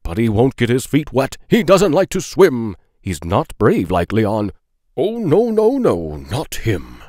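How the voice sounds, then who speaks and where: A man reading children's rhyming poems aloud in a playful, deliberate, sing-song storybook style. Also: medium pitch, wildly swooping pitch, mellow, dry recording, clean studio sound. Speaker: children's book read-aloud narrator.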